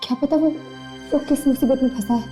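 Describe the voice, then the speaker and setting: A man acting a somber, sympathetic figure in a TV melodrama, speaking gravely and haltingly. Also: extremely high pitch. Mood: grave concern. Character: somber, sympathetic man breaking news of a death. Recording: dramatic music behind voice.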